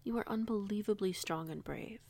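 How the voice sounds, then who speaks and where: A woman playing a young, kindly, protective werewolf pack alpha in an audio roleplay, measured and gently authoritative. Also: mid-range voice, gravelly, dry recording. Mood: gentle, reassuring.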